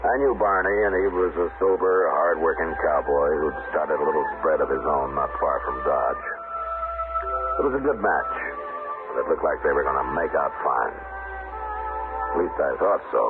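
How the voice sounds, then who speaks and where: An American man playing a steady, gruff, patient frontier marshal in an old radio western, speaking in a halting, laconic way. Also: a bass voice, over loud music. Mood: reflective. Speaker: radio drama actor. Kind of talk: old-time radio western acting and narration. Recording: muffled, noisy old radio recording.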